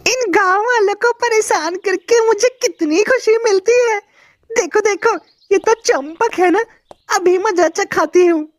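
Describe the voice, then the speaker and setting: A woman voicing a gleeful high-pitched trickster bird. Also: unhurried, no echo. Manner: gleeful, teasing. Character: mischievous trickster bird, female. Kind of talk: Hindi cartoon voice acting.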